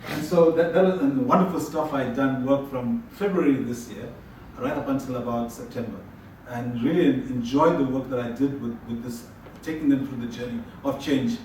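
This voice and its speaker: A man's voice: medium pitch, fairly quick, dark tone. A man from South Africa gives a talk at a conference, chatting his way through it and often restarting his sentences. He is picked up from far off in a very echoey room, with a faint hiss underneath. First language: Tamil. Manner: conversational, frequent restarts and repeated words. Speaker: conference presenter. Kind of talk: presentation with audience questions.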